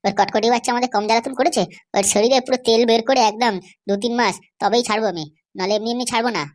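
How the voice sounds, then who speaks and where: A woman's voice: a little high, rapid-fire, close to an everyday voice. A woman voicing a boastful, vengeful male kidnapper who argues brashly in an amateur comedy cartoon.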